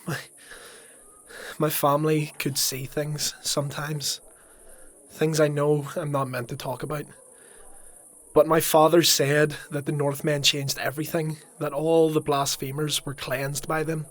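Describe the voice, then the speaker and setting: A man performs a young fanatic with a high-pitched voice, stumbling over his words and pleading with fervour. He is distraught and eaten by guilt, in the manner of a dark fantasy audio drama. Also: level tone, measured pace, close to an everyday voice. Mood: pleading, confiding. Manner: stammering, impassioned.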